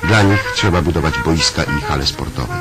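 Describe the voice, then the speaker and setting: A man narrates in a deep, low voice with a steady, measured delivery. Loud music plays beneath him throughout. The sound comes from an old film soundtrack. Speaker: documentary narrator. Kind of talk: 1970s documentary narration.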